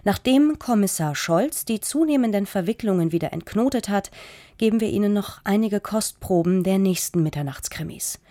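A soft-toned, mellow woman speaks in a very dry studio. She presents on radio, reading a scripted introduction with composed, polished delivery at a medium pitch. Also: lively intonation, normal speed, no room sound.

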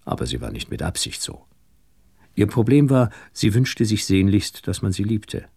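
Low, gravelly world-weary man. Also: very animated, moderate pace, dark tone, no room sound, clean studio sound, full character voice. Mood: wry, reflective. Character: world-weary male theatre producer narrating his own downfall. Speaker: narrator and lead actor. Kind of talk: radio drama narration and dialogue.

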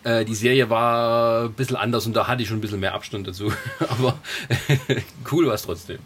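A man with a mid-pitched voice talks casually as a podcast co-host. He reminisces loosely, restarting and correcting himself along the way. Some background noise sits behind his voice. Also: steady tone, moderate pace, fairly bright tone. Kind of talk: casual nostalgic podcast chat.

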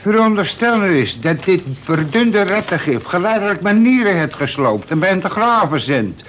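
An actor playing a wily, mock-deferential veteran convict in a Dutch radio play, reciting pedantically with bits of prison slang. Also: male voice, high-pitched, sing-song, normal speed, a bit bright, slight background noise.

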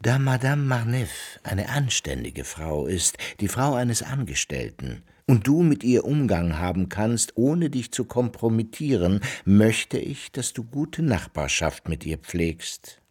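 An actor playing a self-assured, amorous middle-aged baron with courtly manners in a German radio drama. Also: male voice, on the low side, sing-song, measured pace, hardly any pauses, a little mellow, slightly rough, heavily acted. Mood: flirtatious.

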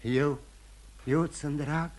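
An actor playing a dissolute, self-loathing husband in radio theatre, halting and repeating words theatrically. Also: male voice, fairly high pitch, fairly even intonation, darkish tone, lightly acted. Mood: tipsy, tender. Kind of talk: mid-20th-century radio theatre acting. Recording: old radio drama, music behind.

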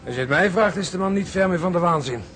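Fast-talking worried ship's officer. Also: male voice, sits high, lively intonation, fairly bright tone, a little hiss or hum. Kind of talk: Dutch radio drama acting.

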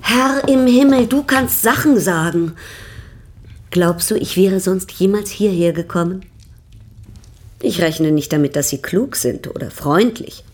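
An actress playing an imperious, discontented baroness in a German radio drama, husky and haughtily complaining. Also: female voice, medium pitch, measured pace, natural voice. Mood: haughty, reproachful. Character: imperious, discontented baroness, older sister of a bohemian.

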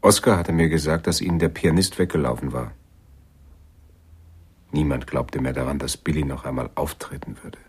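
An actor playing a brooding jazz pianist, reflective and restrained, recalling a lost love in a radio drama. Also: male voice, a bass voice, steady tone, moderate pace, husky. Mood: subdued.

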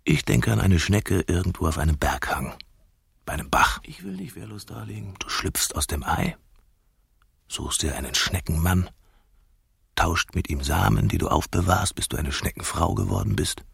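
A deep, gravelly man in a radio drama. He is stubborn and world-weary, musing drily and contradicting. The sound is close and dry, without room. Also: animated, normal speed, fairly bright tone, dry recording, heavily acted. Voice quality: gravelly, husky. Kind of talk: radio play dialogue.

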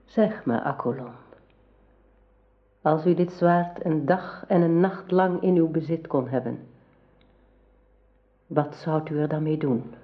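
An actress playing a gracious, scheming royal enchantress in a radio drama, speaking formally and deliberately. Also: female voice, low voice. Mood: courting, scheming. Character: courtly enchantress sister of a king, gracious and scheming. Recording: dull, lo-fi radio play recording.

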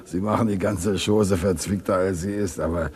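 An actor playing a cocky small-time burglar in a radio crime drama, talking in cheeky streetwise slang. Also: male voice, low voice, steady tone, normal speed, darkish tone, close to an everyday voice.

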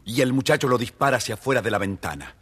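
A man's voice: mid-range voice, lively intonation, fast talker, very noisy. A man narrating a scripted children's story as the storyteller.

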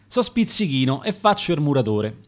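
A man's voice: on the high side, very animated, moderate pace. A man reading the verse of a dead bricklayer who drank away his wages, in a Roman accent. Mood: weary. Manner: reciting dialect verse in rhyme. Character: dead worn-out bricklayer, weary and sour.

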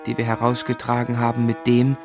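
Man as first-person narrator of childhood memories, medium pitch, scripted literary radio drama, music behind. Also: monotone, brisk, natural voice. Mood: sombre, reflective. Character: adult man recounting his sorrowful childhood memories.

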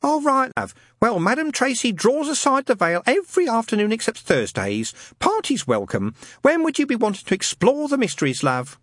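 A breezy, familiar middle-aged woman answering the phone, as a male narrator puts her on. Pitched high and sing-song, with a slightly husky, dry sound. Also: huge pitch swings, normal speed, hardly any pauses, a bit bright, no room sound, full character voice.

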